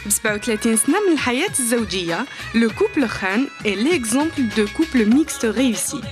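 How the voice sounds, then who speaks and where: A high, very lively female voice reads a television report at great speed. She sounds animated and bright as she narrates. Faint music plays under her words. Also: very fast. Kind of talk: scripted report voice-over.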